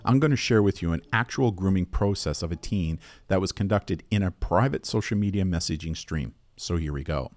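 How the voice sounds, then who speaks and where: A man with a low voice presents scripted educational material as a podcast host. His delivery is measured and explanatory, with very lively intonation, and the recording is dry and close. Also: no echo.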